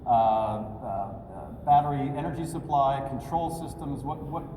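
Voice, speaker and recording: A man's voice: mid-range voice, lively intonation, a little slow, dark tone. A middle-aged man hosting an interview, asking long, friendly questions padded with "uh" and "um.". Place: large indoor auto show hall. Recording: echoey hall, distant mic, crowd noise.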